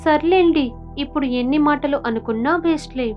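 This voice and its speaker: A woman's voice: sits high, brisk, highs cut off, soft background music, close to an everyday voice. A woman voicing an earnest young daughter-in-law in a cartoon, plaintive and respectful. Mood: resigned.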